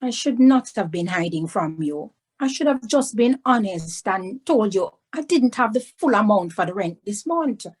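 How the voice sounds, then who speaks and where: A woman's voice: mid-range voice, animated, normal speed, few pauses, breathy edge, pitched above the performer's own voice. A woman voicing a sheepish adult uncle, evasive and pleading, in a children's storybook. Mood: contrite. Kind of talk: storybook read-aloud.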